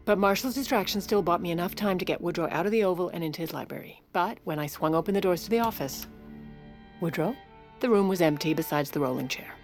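A woman's voice: on the low side, quick pace, faint music behind, lightly acted. An actress playing a composed, scheming first lady in an audio drama, polite and controlled with an urgent edge. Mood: confiding narration. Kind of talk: audio drama acting and narration.

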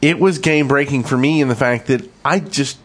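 Male podcast co-host, medium pitch, casual gamer talk with dry teasing. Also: normal speed. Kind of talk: casual gaming podcast discussion.

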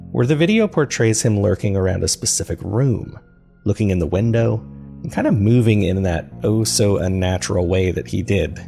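A man playing an earnest, uneasy paranormal podcast host, narrating a documentary-style recap in a measured, confiding way with a creaky, low voice. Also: darkish tone.

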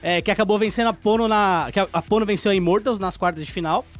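High-voiced Brazilian man chatting esports news. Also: fast talker. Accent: Brazilian Portuguese. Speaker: esports show co-host and commentator. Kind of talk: casual studio news chat.

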